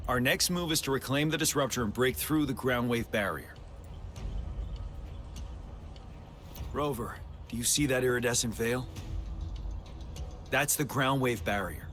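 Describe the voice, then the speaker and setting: A man playing a composed, resolute army general in a game cutscene, giving measured, authoritative military briefings. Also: medium pitch, a bit bright, natural voice. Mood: commanding. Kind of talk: scripted video game cutscene acting.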